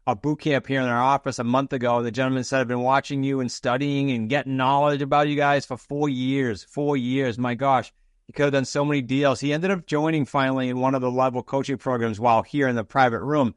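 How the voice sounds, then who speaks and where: A man's voice: mid-range voice, flat delivery, a little fast, clear, resonant tone, no room sound. A man hosting a podcast, informal and emphatic, repeating words for stress. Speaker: podcast host. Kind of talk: upbeat podcast intro and interview chat.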